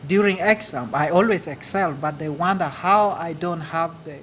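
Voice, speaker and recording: A man from Uganda with a Ugandan accent, answering audience questions in a halting, earnest way at a live speaking event. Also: a little high, a bit bright, slight background noise. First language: a Ugandan language. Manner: earnest, halting, repeats words. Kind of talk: public speaking Q&A.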